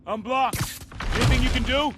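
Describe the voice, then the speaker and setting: An actor playing a determined, gutsy police officer in a video game, with an American accent and brisk, clipped delivery. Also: male voice, very high voice, fairly even intonation, noisy background. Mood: tense, determined. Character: determined, gutsy male police officer, Spider-Man's ally. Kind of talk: video game voice acting, natural dialogue.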